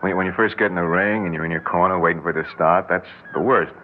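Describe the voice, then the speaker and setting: An actor playing a modest, uneasy young boxer, speaking haltingly in colloquial 1960s radio drama style. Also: male voice, sits low, fairly even intonation, phone-quality sound, natural voice. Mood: shy, hesitant. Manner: halting, hesitant, tough-guy colloquial speech. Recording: noisy, thin old radio recording.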